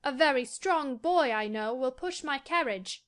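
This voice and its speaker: A woman's voice: sits high, lively intonation, measured pace, a bit bright, smooth. A woman voicing a fretful, lordly young invalid boy in an audiobook dramatic reading, haughty and commanding. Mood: self-assured.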